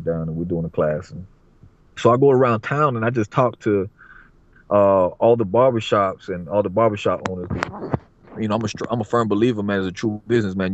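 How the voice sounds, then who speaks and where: A man's voice: deep voice, dry recording. A man, a barber, chatting casually as a podcast guest in a streetwise way, repeating himself and asking "you know what I mean". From Florida, USA. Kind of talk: casual interview talk. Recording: dull lo-fi audio.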